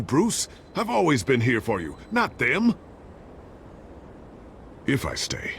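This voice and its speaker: An actor playing a loyal, worried tech expert in a video game, speaking earnestly and with measured concern. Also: male voice, deep voice, gravelly, a little hiss or hum, full character voice. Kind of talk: dramatic video game acting.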